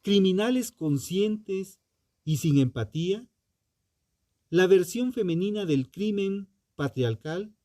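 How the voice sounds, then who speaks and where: A man narrating a scripted true crime podcast in a dramatic, solemn and deliberate manner, with ominous rhetorical questions. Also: sits high, expressive, dark tone, no echo, clean studio sound.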